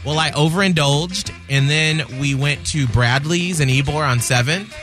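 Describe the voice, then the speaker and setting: A male radio host chatting loudly and with animation on a morning show. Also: a little high, moderate pace, faint music behind. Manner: loud, animated, laughing.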